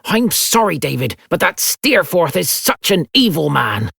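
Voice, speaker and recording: A man's voice: on the high side, sing-song, unhurried, gravelly, a bit bright, breathy edge, no echo, clean studio sound, pitched above the performer's own voice, heavily acted. A man voicing a desperate, heartbroken young man in an audiobook novel, shaky and distraught.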